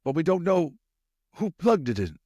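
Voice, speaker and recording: An earnest older rat leader in a storybook, acted out by a man, pitched high with sweeping intonation. He is measured and deliberate, commanding and probing. The recording is close and dry. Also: very animated, dark tone, dry recording. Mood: questioning. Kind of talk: audiobook character voice.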